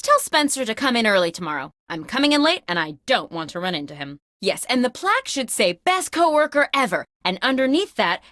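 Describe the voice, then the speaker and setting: A woman's voice: on the high side, bright, forceful tone, no echo, studio quality. A woman playing a scatterbrained, self-absorbed office boss in a comedic video game, breezy, theatrical and bossy. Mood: bossy, oblivious. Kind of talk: scripted comedic video game host lines.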